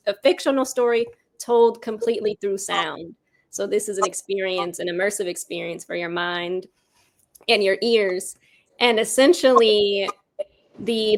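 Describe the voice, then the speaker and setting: A woman's voice: mid-range voice, a bit bright. A woman speaking as an interview guest, reflective and articulate, explaining herself in long, considered thoughts. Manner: articulate, considered, elaborates at length. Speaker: writer-director interviewed as guest.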